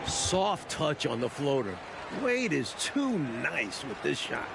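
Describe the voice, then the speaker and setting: A man's voice: a little high, big pitch swings, takes it slowly, creaky, heavy background noise, heavily acted. A man playing an American basketball analyst, giving emphatic, conversational commentary on the game. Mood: admiring, emphatic. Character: animated male TV basketball analyst. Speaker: color commentator.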